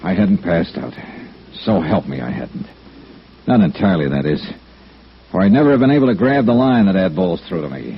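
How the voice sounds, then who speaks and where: An American actor playing a tough, dogged insurance investigator in 1950s radio noir, with clipped, hard-boiled delivery. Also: male voice, low voice, sing-song, fairly quick, vocal fry, slight background noise, exaggerated voice. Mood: rueful. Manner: clipped, hard-boiled first-person narration. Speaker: lead actor and narrator. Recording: muffled old radio broadcast, music behind.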